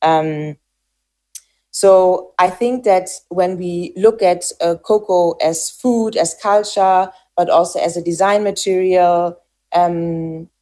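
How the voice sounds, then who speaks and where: A woman giving reflective interview answers, pausing often with fillers and restarting her sentences as she works out her thoughts. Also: lowish voice, steady tone, dark tone. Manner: hesitant, frequent fillers and restarts. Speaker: interviewee, farm founder.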